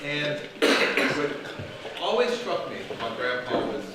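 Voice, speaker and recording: A man's voice: on the high side, sing-song, measured pace. A man giving an informal, joking memorial speech as a grandson at a family gathering. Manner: friendly, self-aware, joking asides. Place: restaurant dining room. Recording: echoey room, distant mic, loud noise.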